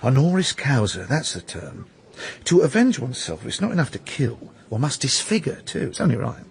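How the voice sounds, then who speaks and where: A man's voice: medium pitch, huge pitch swings, normal speed, vocal fry, a little hiss or hum. An actor playing a gossipy Roman onlooker in a radio drama, knowing and opinionated as he explains a grisly scandal. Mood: knowing, insinuating. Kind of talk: radio drama dialogue and storytelling.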